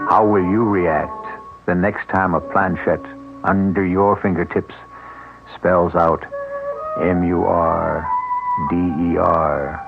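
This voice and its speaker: A man with a very deep, gravelly voice narrates a radio mystery in a measured, ominous way. He sounds tinny, like an old broadcast heard over a phone, and music plays clearly behind him. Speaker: radio drama host and narrator.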